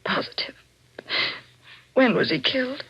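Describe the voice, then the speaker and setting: A muffled old-time radio recording of a distraught wife in a mystery drama. Her voice sits fairly high and has a breathy edge. She speaks melodramatically, in the breathless, fearful manner of forties suspense acting. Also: expressive, unhurried, a bit bright, exaggerated voice. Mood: shaken, subdued. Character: distraught young wife who finds her husband murdered. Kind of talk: 1940s radio mystery acting, first-person narration and dialogue.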